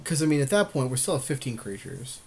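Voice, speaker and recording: A man's voice: mid-range voice, big pitch swings, quick pace, gravelly, slightly rough. A man co-hosting a podcast in a casual chat about card game strategy. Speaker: podcast co-host.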